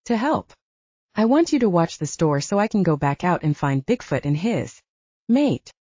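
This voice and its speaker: An elderly storybook grandfather, as voiced by a woman narrator, earnest and gently coaxing, with mellow tone and lively intonation. The recording is dry and slightly dull. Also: lowish voice, moderate pace, dry recording. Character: kindly, stubborn elderly grandfather, a Bigfoot enthusiast. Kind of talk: audiobook character dialogue.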